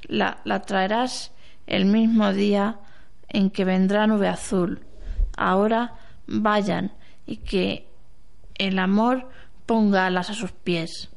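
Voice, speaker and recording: Woman playing a slow, wise old grandmother. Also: medium pitch, fairly even intonation, vocal fry. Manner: slow, measured, instructing. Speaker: amateur radio drama actor. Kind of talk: amateur radio play acting.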